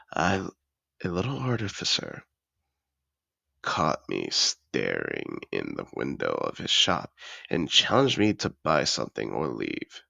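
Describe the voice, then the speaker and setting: A man's voice: extremely low pitch, gravelly, pushed and bright, no echo, full character voice. A man playing a suave, possessive, wealthy dragon in human disguise, telling his story in a refined, theatrical manner in a scripted fantasy audio roleplay.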